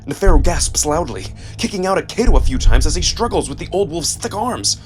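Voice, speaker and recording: A man reading a first-person story as dramatic, expressive scripted narration with a sense of suspense. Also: fairly high pitch, fairly quick, slight background noise. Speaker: narrator and performer.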